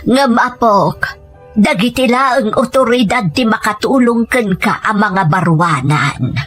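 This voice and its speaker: A woman playing an elderly, gentle grandmother in an audio drama, pleading in a slow, drawn-out way. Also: a little high, expressive, soft background music, full character voice. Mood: concerned, pleading.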